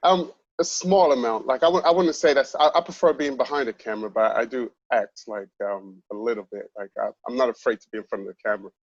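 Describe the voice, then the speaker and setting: A man's voice: medium pitch, fast, few pauses, no echo. A man from London, a guest filmmaker in a casual interview, who often repeats words as he starts his sentences. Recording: dry, dull lo-fi sound.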